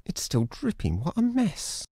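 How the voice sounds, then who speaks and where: A fussy young robed hero in an adventure game. His theatrical voice swoops widely up and down, expressive in every phrase. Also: high-pitched, unhurried, breathy edge, heavily acted. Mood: fussy disgust. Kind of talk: scripted game voice acting.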